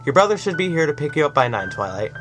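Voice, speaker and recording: A man's voice: a little high, big pitch swings, fast, voice pushed up. A man voicing a jovial, fond middle-aged father. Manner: hearty and fond. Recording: soft music behind.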